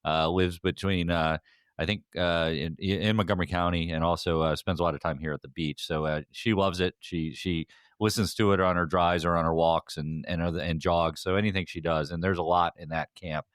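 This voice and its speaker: A man's voice: low-pitched, fairly even intonation, a little fast, clear, resonant tone, dry recording, studio quality. A man co-hosting a podcast in casual banter, speaking hesitantly and often repeating his phrases. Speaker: podcast co-host.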